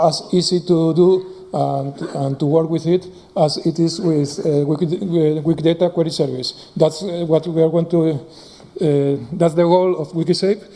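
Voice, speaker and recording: A man giving a live technical talk, speaking haltingly with many fillers. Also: fairly high pitch, gravelly, dark tone. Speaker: conference presenter.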